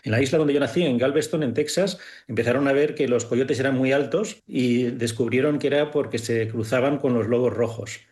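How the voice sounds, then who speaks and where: A man's voice: medium pitch, fairly quick, few pauses, darkish tone. A man presenting science news as a panelist in informal talk, explaining step by step and repeating points for emphasis.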